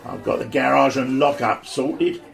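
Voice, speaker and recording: A man playing an old pensioner ringleader, bossy and chatty, who slips into rough slang. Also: mid-range voice, fairly even intonation, unhurried. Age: older.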